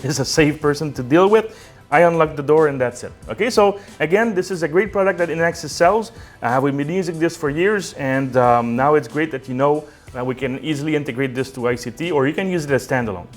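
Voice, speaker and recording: A man giving a casual product demonstration as a technical support director, conversational, with many "um"s and frequent checking "okay?"s. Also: a little high. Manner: fillers "um" and "uh" between phrases.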